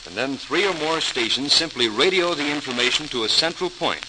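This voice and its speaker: An actor playing an eager, earnest grown son in old-time radio drama, explaining things with enthusiasm. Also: male voice, a little high, animated, brisk, natural voice. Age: young adult. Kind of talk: 1940s radio drama acting. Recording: noisy, crackling old radio transcription.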